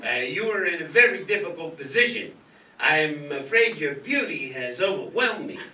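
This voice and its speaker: A man playing a glib, courtly gentleman suitor in a theatrical radio soap opera parody. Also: on the high side, sing-song, moderate pace, full character voice. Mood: courtly, flattering. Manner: smooth-talking, theatrical, courtly. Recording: echoey stage, far mic.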